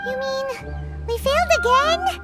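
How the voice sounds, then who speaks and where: A woman voicing a tiny, childlike female fairy companion in a fantasy game, perky, excitable and cheeky. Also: very high-pitched, measured pace. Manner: perky, childish, refers to self in third person. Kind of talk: scripted fantasy game dialogue. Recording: loud game music behind the voice.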